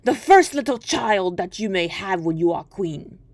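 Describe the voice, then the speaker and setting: A woman's voice: mid-range voice, expressive, measured pace, darkish tone, no room sound. A woman voicing a droll little male hobgoblin, a sly bargainer with an emphatic, singsong manner, in a storybook reading. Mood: sly, bargaining.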